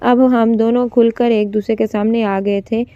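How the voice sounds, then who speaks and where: Flat-toned woman reading a story, lo-fi. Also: on the high side, moderate pace, clean tone, no room sound. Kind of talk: scripted first-person story narration.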